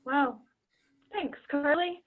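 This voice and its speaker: Tinny, high-voiced nervous teenage girl acted by a young woman. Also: slow pace. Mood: deflated. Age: young adult. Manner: hesitant, defensive. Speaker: student actor in a school play. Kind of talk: one-act play acting. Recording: tinny phone-like line.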